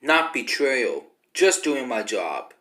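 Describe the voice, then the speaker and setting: Slow, boastful cartoon fighter played by a man. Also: mid-range voice, big pitch swings, a crowd in the background. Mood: cold, boastful. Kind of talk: amateur cartoon voice acting.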